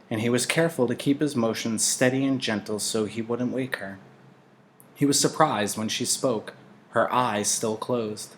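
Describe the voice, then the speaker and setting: A man reading a novel aloud in an expressive narrating style. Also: lowish voice, a little hiss or hum.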